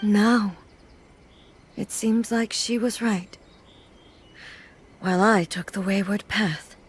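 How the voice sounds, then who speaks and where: A woman playing a solemn, regal ruler in a video game, speaking in a formal, measured and eloquent way. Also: mid-range voice, fairly even intonation, breathy edge. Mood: reflective, calm. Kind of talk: scripted video game dialogue acting.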